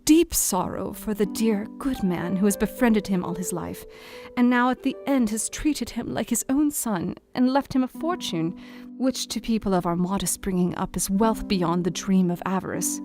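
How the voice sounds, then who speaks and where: An actress playing a worried young Victorian wife, reading out her letter in an audio drama in a gentle, earnest, formal way. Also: medium pitch, moderate pace, natural voice. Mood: sad, tender. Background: guitar music.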